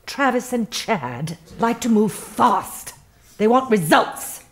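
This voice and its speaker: Female start-up HR head, higher-pitched, very lively intonation, smug, corporate-earnest, defensive, satirical audio play. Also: slow. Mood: smoothly patronizing. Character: smug, self-righteous female head of human resources at tech start-up. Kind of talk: satirical audio comedy acting.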